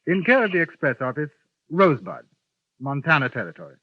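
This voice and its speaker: Calm and courteous, this gentleman gunman speaks with dry irony, his voice sitting a little high and swinging widely in pitch. The old radio recording is tinny and narrow, like a phone line. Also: brisk, heavy background noise. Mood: polite. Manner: dry, courteous, coolly ironic. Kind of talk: 1950s radio drama acting.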